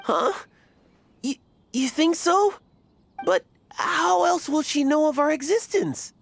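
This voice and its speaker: Gushing, animated young fanboy. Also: female voice, high-pitched, takes it slowly, gravelly, slight background noise, heavily acted. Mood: dismayed. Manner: stammering, gushing, self-important. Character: nervous, fanatical young male fan club leader. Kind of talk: anime game voice acting. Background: light game music.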